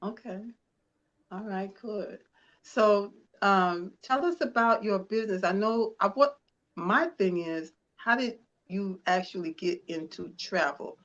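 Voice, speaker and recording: A woman's voice: mid-range voice, measured pace, a bit bright. A woman hosting an interview show, asking questions in a casual, rambling way with restarts. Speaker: host. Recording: dull lo-fi sound.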